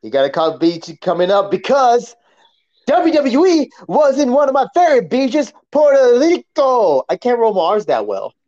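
A man's voice: very high-pitched, huge pitch swings, moderate pace, mellow, muffled recording. A man co-hosting a wrestling podcast, chatting about sport in a casual, slangy way.

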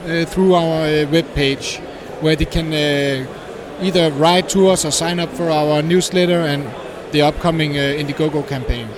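A man describing his startup in a conference interview, hesitant with many pauses and non-native phrasing. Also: fairly high pitch, fairly even intonation, a crowd in the background. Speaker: guest, startup founder. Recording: noisy conference floor.

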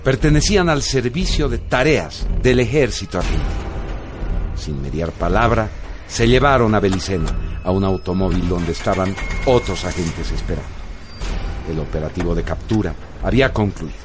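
A man giving solemn, measured scripted narration for a radio drama. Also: low-pitched, animated, music in the background.

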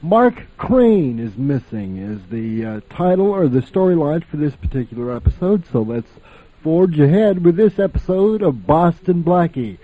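Guy hosting an old radio show program, casual chatty intros, slightly high voice, sounds like over a phone. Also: very animated, normal speed, no room sound, narrow, tinny audio.

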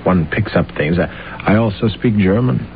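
An actor playing a vain, self-deluded assassin in an old radio drama, speaking formally and hesitantly. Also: male voice, fairly low pitch, expressive, moderate pace, gravelly. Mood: courtly, pretentious. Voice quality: hesitant, stammering. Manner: formal, self-important, courtly. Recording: muffled, noisy old radio broadcast recording.

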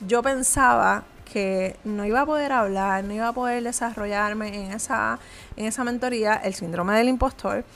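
Earnest woman, higher voice. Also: moderate pace, creaky, a little hiss or hum. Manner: earnest, conversational, frequent "eh" fillers. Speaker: small business owner and coach. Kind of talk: motivational vlog talk to viewer.

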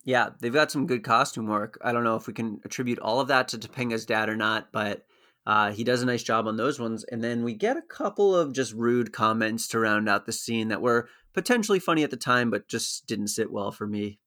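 Man calmly summarizing as podcast co-host. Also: medium pitch, fairly quick. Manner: even, matter-of-fact summarizing. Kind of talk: casual recap chat.

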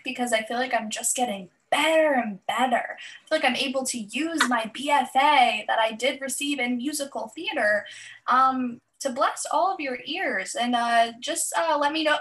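A young woman co-hosting a podcast in casual chat, playful and self-mocking, with a slightly raspy voice. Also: sits high, fairly bright tone. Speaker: podcast co-host.

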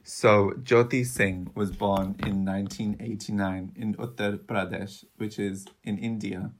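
Young female podcast co-host, very deep soothing voice, chatty and searching for words. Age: young adult. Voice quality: low, soothing voice. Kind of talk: casual true-crime podcast chat.

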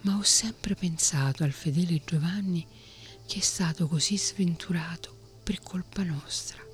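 A woman voicing a sorrowful fairy-tale queen in a low whisper, with faint music behind. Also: a little slow, natural voice. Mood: grave.

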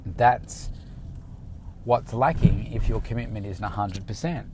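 A man's voice: lowish voice, unhurried, noisy background. A man, a fitness coach talking frankly and casually from his car, rambling with many restarts. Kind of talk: casual motivational talk to camera. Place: inside a car.

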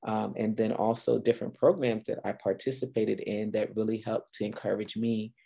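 A woman's voice: very deep voice, little pitch movement, normal speed, gravelly, mellow, breathy edge. A middle-aged woman from Guyana hosting a podcast, talking earnestly and upbeat in a casual solo chat with some fillers. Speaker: podcast host.

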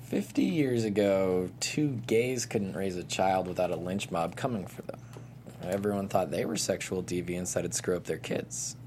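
An actor playing a sardonic paramedic in a naturalistic comedy table read. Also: male voice, low-pitched, moderate pace, darkish tone, natural voice. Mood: dry, wry. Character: sardonic, jealous male ambulance paramedic. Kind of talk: naturalistic comedy script reading. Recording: faint background noise.

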